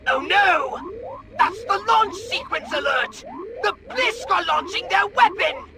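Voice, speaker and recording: Haughty alien mastermind, piercingly high, melodramatic, game acting, noisy backdrop. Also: male voice, expressive, takes it slowly, heavily acted. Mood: alarmed. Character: shrill, imperious male alien mastermind, easily alarmed. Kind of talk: video game cutscene acting.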